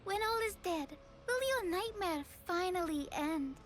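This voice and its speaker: A woman's voice: very high voice, lively intonation, measured pace, a bit bright, a little hiss or hum, full character voice. A woman playing a shy, earnest young fawn spirit in a video game. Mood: wistful.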